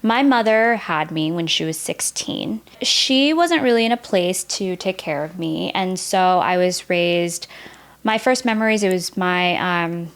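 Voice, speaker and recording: A woman's voice: fairly low pitch, measured pace. A woman in her early thirties from the United States, a native Vietnamese speaker, speaking openly and reflectively as a podcast guest in casual conversation. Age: early 30s. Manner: open and reflective, casual filler "like". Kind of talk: candid personal storytelling in conversation.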